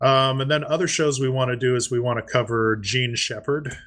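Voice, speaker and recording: A man's voice: medium pitch, steady tone, fairly quick, fairly bright tone. A man co-hosting a podcast in casual chat, explaining things and thinking aloud. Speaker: podcast co-host.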